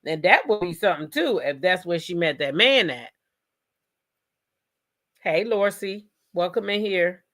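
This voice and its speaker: A woman's voice: fairly low pitch, lively intonation, a little slow, long pauses, a bit bright. An American woman doing casual live gossip commentary as host, sassy and emphatic, often repeating phrases for emphasis.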